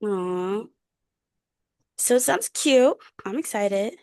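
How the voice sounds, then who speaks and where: Young woman, heavy vocal fry, medium pitch, dry room sound, slangy casual podcast chat. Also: lively intonation, takes it slowly, dark tone, dry recording. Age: young adult. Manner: colloquial, slangy, frequent "like". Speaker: podcast co-host. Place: bedroom.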